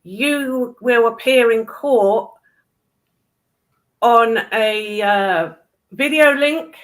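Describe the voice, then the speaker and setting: A woman's voice: on the high side, very slow. A woman explaining things in a casual discussion, emphatically repeating her points for stress.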